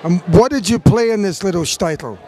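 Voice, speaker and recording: A man's voice: high voice, lively intonation, moderate pace, darkish tone, faint music behind. A man interviewing an older guest outdoors, asking polite, leading questions. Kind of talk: broadcast interview.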